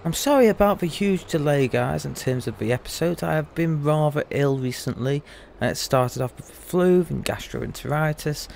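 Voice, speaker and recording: A man's voice: fairly high pitch, lively intonation, normal speed, vocal fry, a little mellow, slight background noise. A young man in casual let's-play commentary, chatty and rambling, his voice still a bit rusty after losing it. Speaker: gaming YouTuber.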